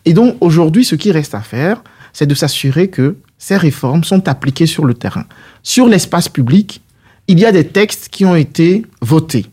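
Husky-voiced man, mellow and fairly high. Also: animated, moderate pace. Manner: didactic, structured, rhetorical. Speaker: politician, interview guest. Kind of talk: measured political interview answers.

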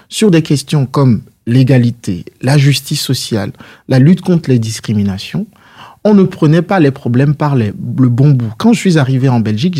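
A man's voice: medium pitch, warm tone. A man answering interview questions as a political guest, in a didactic, structured and rhetorical way.

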